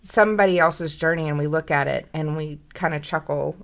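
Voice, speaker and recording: Woman in her 40s, gravelly low voice, jokey and self-deprecating, just chatting. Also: fairly quick. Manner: self-mocking, joking, rambling. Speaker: co-host. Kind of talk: casual chat.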